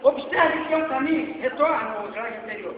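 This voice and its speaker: Man in his forties, Brazilian accent, very high voice, dull lo-fi church recording with long reverb. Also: normal speed. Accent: Brazilian Portuguese. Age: 40s. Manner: swings between loud exhortation and quiet asides. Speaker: preacher. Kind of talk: sermon with slides. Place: church hall. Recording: echoey hall, dull lo-fi sound, faint noise.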